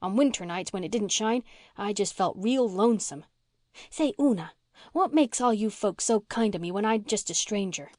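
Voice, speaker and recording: A woman reading an audiobook gives voice to a scrappy, slangy orphan girl who talks bluntly and flares up quickly. Her rough country speech comes across dry and close. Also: mid-range voice, lively intonation, darkish tone, no room sound, close to an everyday voice. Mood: wistful.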